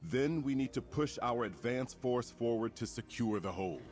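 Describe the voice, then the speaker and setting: An actor playing a grave, resolute military leader in a fantasy game, speaking formally and earnestly with a commanding manner. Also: male voice, medium pitch, expressive, moderate pace, darkish tone, close to an everyday voice. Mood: commanding, urgent. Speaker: quest-giving game character. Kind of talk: scripted fantasy game dialogue. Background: music.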